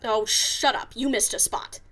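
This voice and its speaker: A woman's voice: on the high side, steady tone, unhurried, sharp tone. A woman playing a brash, sarcastic pony radio DJ with a big ego in a comic audio drama. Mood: irritated, sarcastic. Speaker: radio host. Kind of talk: comic audio drama with first-person narration.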